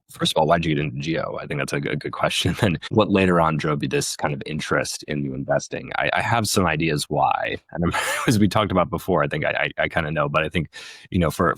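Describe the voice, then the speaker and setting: A man hosting a podcast, asking questions in a relaxed, conversational way. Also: rumbling low, breathy edge, no room sound. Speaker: podcast host. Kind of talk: casual interview chat.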